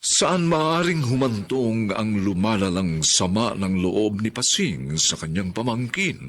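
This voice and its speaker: A male radio drama announcer with a lowish, husky voice. He narrates formally and theatrically, with broad swings in pitch. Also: fairly bright tone.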